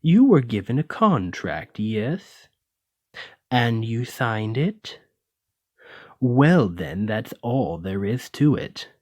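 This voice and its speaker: Un hombre interpretando a un noble lamia altivo y pausado. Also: male voice, mid-range voice, big pitch swings, a little mellow, no echo. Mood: mocking disdain. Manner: condescending, theatrical, deliberate aristocratic speech. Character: haughty, menacing male lamia noble, wealthy retired merchant. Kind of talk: ASMR roleplay addressed to the listener.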